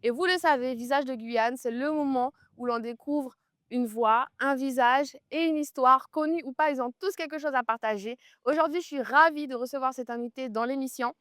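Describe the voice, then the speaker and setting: A woman's voice: high voice, moderate pace, fairly bright tone, no echo, clean studio sound. A woman hosting an interview outdoors on a trail, chatting in an upbeat, encouraging way as she walks with her guest. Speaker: host. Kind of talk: casual interview while walking.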